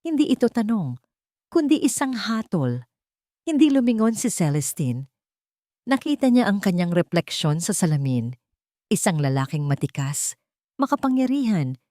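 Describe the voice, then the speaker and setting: A woman's voice: lowish voice, big pitch swings, moderate pace, dark tone, dry recording. Woman narrating drama, close dry sound. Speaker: story narrator. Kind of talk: scripted dramatic romance narration.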